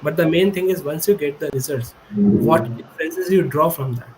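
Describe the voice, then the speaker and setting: A man's voice: fairly high pitch, normal speed, background noise. A young man in his twenties whose first language is Hindi, speaking on a video call as a podcast guest and explaining things earnestly, often correcting himself mid-sentence. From India. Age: 20s. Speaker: guest, PhD student. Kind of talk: remote podcast interview. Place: indoor room with a high-backed chair.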